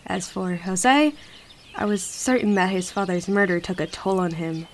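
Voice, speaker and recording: A woman's voice: on the low side, moderate pace, a little hiss or hum, natural voice. A woman playing a grieving, wounded mother in a game roleplay, with an emotional, pointed delivery. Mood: wistful grief. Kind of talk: online game roleplay voice acting.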